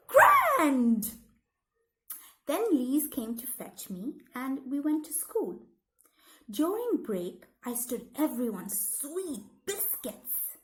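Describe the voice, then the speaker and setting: A woman voicing an eager, thoughtful thirteen-year-old schoolgirl in an expressive, deliberate read-aloud of her diary. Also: sits high, pitched above the performer's own voice, exaggerated voice, poor audio.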